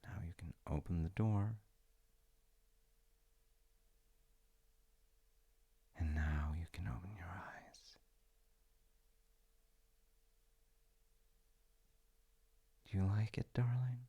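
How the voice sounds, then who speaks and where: A man playing a playful, tender wizard fiancé in an intimate ASMR-style audio roleplay, speaking to the listener in a gentle, coaxing way. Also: low voice, expressive, normal speed, breathy edge, highs cut off, heavily acted. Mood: tender, hushed. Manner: gentle, coaxing, often dropping into whispers. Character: playful, tender male wizard fiancé showing off magical home.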